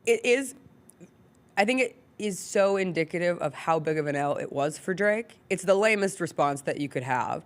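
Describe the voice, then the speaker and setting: An American woman with a fairly low voice hosts a talk show. She gives conversational commentary, laying out a story and her take on it in an everyday register. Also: expressive, moderate pace. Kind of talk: conversational sports and culture commentary.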